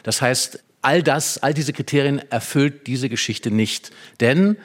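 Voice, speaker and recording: A man with a mid-pitched voice talks as a literary expert in a conversation. He explains ideas step by step, offering illustrations and checking in with short tag questions. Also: measured pace.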